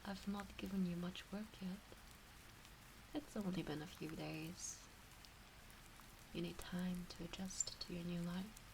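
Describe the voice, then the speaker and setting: A woman voicing a teasing, doting kitsune witch in an intimate ASMR roleplay, with a soft breathy voice that often slips into whispers and coaxing baby-talk. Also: lowish voice, steady tone, measured pace, long pauses, a little hiss or hum, poor audio. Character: teasing, doting kitsune fox witch fussing over her pet. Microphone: close ASMR microphone.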